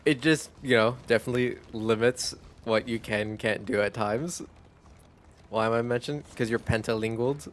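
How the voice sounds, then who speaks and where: A man whose first language is Spanish, chatting casually as a streamer while gaming, in a rambling, relaxed way. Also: medium pitch, normal speed. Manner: rambling, relaxed, laughs easily.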